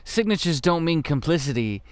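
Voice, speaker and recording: A man voicing a cool, powerful city official in a noir audio drama, delivering veiled threats in a measured, controlled manner. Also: on the high side, big pitch swings, no echo, pitched above the performer's own voice. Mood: cool menace. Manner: measured, smooth-worded, threatening.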